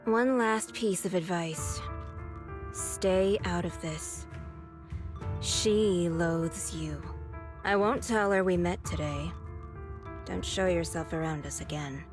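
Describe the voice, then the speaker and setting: Slow, cold game antagonist. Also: female voice, lowish voice. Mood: resigned, grave. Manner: measured, deliberate, curt. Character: cold, composed, resigned young woman antagonist. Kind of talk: scripted video game voice acting. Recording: game music clearly behind the voice.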